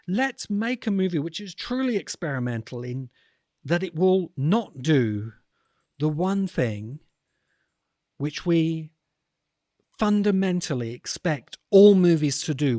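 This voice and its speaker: A man's voice: a little high, big pitch swings, slow, warm tone, no echo, studio quality. Earnest man delivering reflective commentary. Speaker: podcast host and video essayist.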